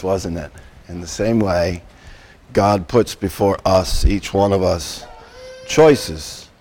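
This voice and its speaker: A male preacher gives a Sunday sermon in a hoarse, croaky voice worn down by a cold. He speaks slowly and haltingly, pausing on ums and clearing his throat, with a mid-range pitch. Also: a little mellow.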